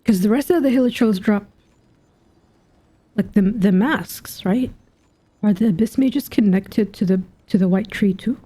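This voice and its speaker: A woman's voice: mid-range voice, unhurried, pauses often, slightly rough. A woman giving casual playthrough commentary on a game, musing aloud and asking questions as she goes. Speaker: game streamer reacting to a story quest. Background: soft game music.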